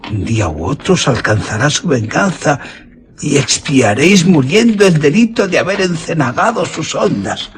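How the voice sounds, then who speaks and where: A man's voice: fairly high pitch, slight background noise. An actor playing a devoted, superstitious old male servant in a radio drama, imploring dramatically. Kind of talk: Spanish radio drama acting.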